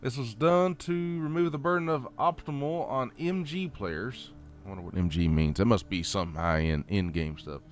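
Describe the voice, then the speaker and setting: A man with a Southern American accent reads update notes aloud in a folksy, halting way, adding off-the-cuff comments. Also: medium pitch. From Southern United States. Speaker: gaming channel host.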